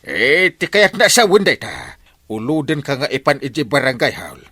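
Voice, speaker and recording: A man's voice: medium pitch, big pitch swings, normal speed, pushed and bright, breathy edge, no room sound, studio quality, heavily acted. A man with an Ilocano accent acting a blustering, scolding village captain in a comedy radio drama. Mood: accusing. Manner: exaggerated, scolding, theatrical delivery. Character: blustering comic village captain accusing a thief.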